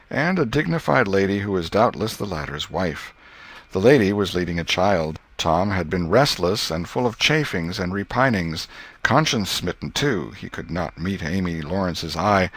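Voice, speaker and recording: A man narrating a classic novel as an audiobook, in a measured storytelling delivery with gentle irony. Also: low voice.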